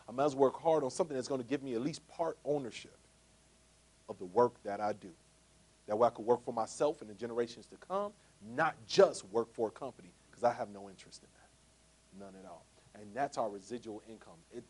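Man, 40s, fairly high voice with a very lively pitch range, pitching a business opportunity to a room, jokey, throws rhetorical questions at the crowd. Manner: animated, jokey, asks the audience rhetorical questions. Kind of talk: motivational sales talk to a live audience.

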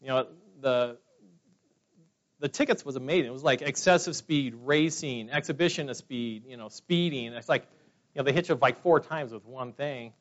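A man's voice: medium pitch, moderate pace. A man in his forties from California, telling personal stories to a meeting in a rambling, chatty way full of fillers. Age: 40s. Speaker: speaker at a business meeting. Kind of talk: casual personal testimony to a room. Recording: dull lo-fi sound.

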